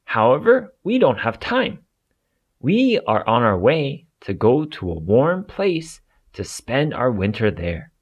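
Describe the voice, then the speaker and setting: A man's voice: medium pitch, sing-song, slow pace, dull audio. A man voicing a caring, polite elder bird in a children's storybook. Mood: polite, regretful. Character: helpful, polite elder bird of a migrating flock. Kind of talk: children's storybook read-aloud.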